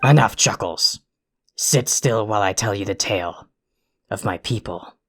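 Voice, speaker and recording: An actor in an audio drama playing an irritable, foul-mouthed, self-important alien troll who rants and insults in a gravelly voice. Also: deep voice, normal speed, a little hiss or hum. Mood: pompous, grumpy. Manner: ranting, insulting, pompously wordy.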